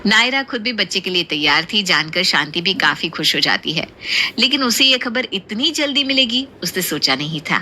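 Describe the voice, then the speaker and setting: Female storybook narrator, very bright tone, medium pitch, faint background noise. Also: lively intonation, moderate pace.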